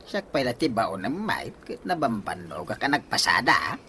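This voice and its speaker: A man's voice: mid-range voice, sing-song, moderate pace, bright, forceful tone, husky, full character voice. A man with a Filipino accent playing an exasperated husband who nags and scolds emphatically in a comedy radio drama. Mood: scolding. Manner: nagging, emphatic.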